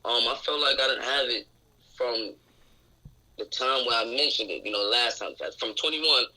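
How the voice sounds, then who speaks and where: A young man in his twenties talks with a raspy, intensely bright and forceful voice. He is outspoken and candid as he answers questions in a radio interview. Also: mid-range voice, moderate pace, low-quality recording. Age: 20s. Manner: outspoken, open and reflective. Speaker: interview guest. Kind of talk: candid casual conversation. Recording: radio show recording.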